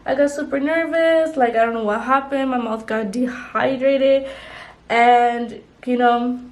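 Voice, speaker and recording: A woman's voice: on the high side, steady tone, unhurried. A young woman vlogger chatting casually while doing her makeup, rambling with lots of "like" and "you know". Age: young adult. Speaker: beauty vlogger.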